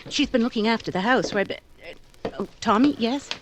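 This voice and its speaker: A woman acting a gentle, lonely and quietly wounded young woman in a 1940s style radio drama. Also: a little high, fairly quick, lightly acted. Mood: warm, flustered. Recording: clearly noisy recording with clicks.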